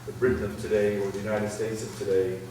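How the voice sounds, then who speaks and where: A middle-aged man answering audience questions at a public talk, thoughtful and halting, with many restarts. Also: on the low side, level tone, mellow. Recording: echoey hall, far from the mic, faint noise.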